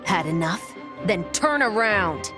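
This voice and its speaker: An actress playing a cool, confident patrol fighter in a video game, controlled, dry and taunting. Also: female voice, mid-range voice, expressive, slow pace, over music.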